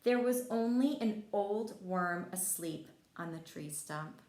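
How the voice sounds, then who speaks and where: A woman voicing a friendly, gentle male frog telling a children's storybook tale in an expressive, reassuring way. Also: medium pitch, slow pace, slight background noise. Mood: wistful storytelling. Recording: echoey room.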